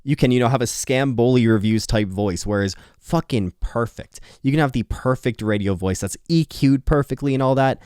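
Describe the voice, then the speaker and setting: A man's voice: mid-range voice, normal speed, hardly any pauses, a little mellow, smooth, no echo, studio quality. A tired young man recording a casual late-night talk, rambling and candid, swearing and laughing now and then, with a slightly nasal voice. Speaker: YouTuber. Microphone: earphones on, close mic.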